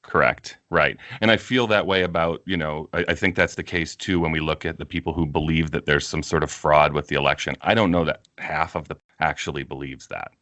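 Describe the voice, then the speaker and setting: A man hosting a podcast interview who speaks in an earnest, measured, reflective way. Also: a bass voice, steady tone, sharp tone, no echo. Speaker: podcast host. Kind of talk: interview conversation.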